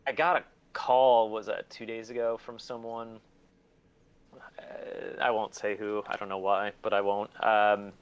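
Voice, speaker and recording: A man's voice: lowish voice, little pitch movement, measured pace, clear, resonant tone. Blunt, sweary man chatting casually. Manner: sweary, blunt, laughs easily. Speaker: interview guest, collector and documentary maker. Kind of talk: casual chat, storytelling.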